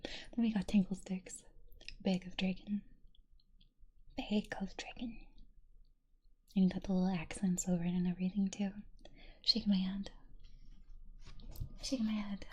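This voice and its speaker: A woman's voice: medium pitch, steady tone, a little slow, long pauses. Giggly whispering young woman streamer. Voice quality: soft breathy whisper. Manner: giggly, laughs often between quotes. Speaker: streamer reading chat quotes. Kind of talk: whispered ASMR-style stream chat.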